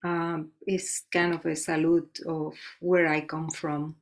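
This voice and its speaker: Slow, measured woman. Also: low-pitched, flat delivery. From Colombia. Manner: slow, measured recitation with Spanish words. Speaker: poet. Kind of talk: poetry reading.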